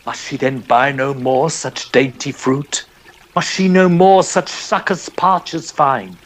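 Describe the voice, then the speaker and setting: A man narrating a poem in a theatrical, measured storytelling style. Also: mid-range voice. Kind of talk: dramatic poetry reading, storytelling.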